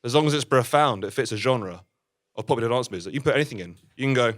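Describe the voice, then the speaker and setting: A man's voice: mid-range voice, expressive, racing, faint music behind, close to an everyday voice. A man playing an awkward stand-up comedian, rambling through a routine in a hesitant, self-deprecating way. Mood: bemused.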